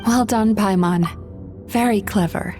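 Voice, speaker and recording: A woman playing a calm, gentle, wise healer in a video game, measured and soothing. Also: mid-range voice, natural voice. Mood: calm, serious. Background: music.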